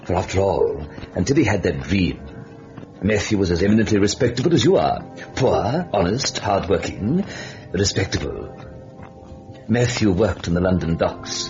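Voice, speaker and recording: An actor playing an urbane, sardonic Devil, narrating a radio horror drama in a theatrical, taunting manner. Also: male voice, low voice, darkish tone. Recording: dull lo-fi old radio, music behind.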